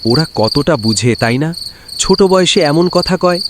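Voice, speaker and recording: A worried poor farmer father, deep and low. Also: animated, unhurried. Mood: tender. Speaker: cartoon voice actor. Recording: loud cricket and insect noise.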